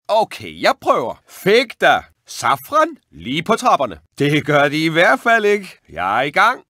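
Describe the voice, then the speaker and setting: A man voicing an eager young male rat who dreams of cooking, in an expressive, excitable and wry video game performance. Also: on the high side, dry recording, studio quality. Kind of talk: animated video game voice acting.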